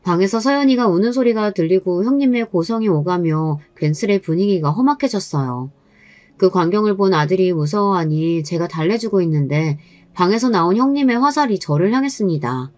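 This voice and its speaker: A woman's voice: mid-range voice, expressive, a little fast, darkish tone, dry recording. A woman reading a scripted first-person story as its narrator.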